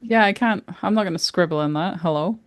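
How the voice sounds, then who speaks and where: A woman co-hosting a podcast in casual chat, giggly and self-conscious, telling stories with animated voices. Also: on the low side, fairly quick, no echo, studio quality. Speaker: podcast co-host.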